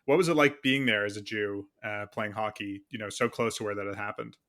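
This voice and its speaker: A man's voice: on the low side, brisk, fairly bright tone. A man hosting a podcast, asking earnest questions with fillers. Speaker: podcast host, interviewer. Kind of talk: interview questions.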